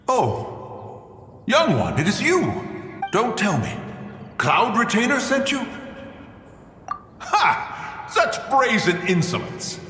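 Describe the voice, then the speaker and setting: Manic pompous old immortal, high-pitched, swooping. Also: male voice, bright, forceful tone, exaggerated voice. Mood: indignant. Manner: bombastic, archaic grandiose diction. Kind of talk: video game character acting. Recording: echoing hall reverb, music behind.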